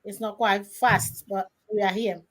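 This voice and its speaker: A woman hosting a live radio talk show, her voice rough from a cold, talking haltingly with restarts and fillers. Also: mid-range voice, fairly even intonation, fairly bright tone. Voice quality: rough, strained voice. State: has a cold. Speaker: radio host. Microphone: studio mic.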